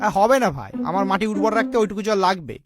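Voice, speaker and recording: A man voicing a parched, wisecracking lowland in a cartoon, grumbling in sarcastic banter. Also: lively intonation, fast. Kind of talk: comic cartoon dialogue. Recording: music behind the voice.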